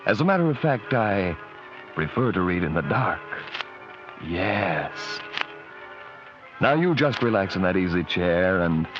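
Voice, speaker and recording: A man playing a sinister, soft-spoken horror host who tells a bedtime story, coaxing and sly. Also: low voice, big pitch swings, measured pace, long pauses. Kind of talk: 1940s radio acting. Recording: dull old radio recording with music.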